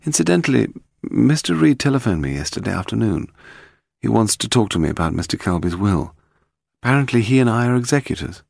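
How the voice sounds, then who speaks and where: Man voicing a brisk English woman, low-pitched, husky. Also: big pitch swings, a little mellow, no room sound, studio quality, heavily acted. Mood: matter-of-fact. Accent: British.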